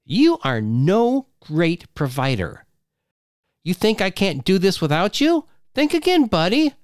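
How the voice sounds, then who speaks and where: Guy doing a screechy mad young wife, way high and all over the place, slow and biting. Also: wildly swooping pitch, darkish tone, no room sound, studio quality, heavily acted. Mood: shrill, furious. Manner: screeching, jabbing accusations. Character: shrill furious young wife and mother.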